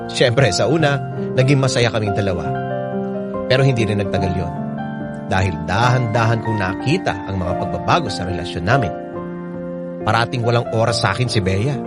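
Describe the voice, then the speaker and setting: A man's voice: fairly low pitch, normal speed, long pauses, close to an everyday voice. An actor narrating in first person as a young man recounting a painful love affair, confiding directly to the listeners. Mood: rueful. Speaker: narrator telling his own story. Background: loud music.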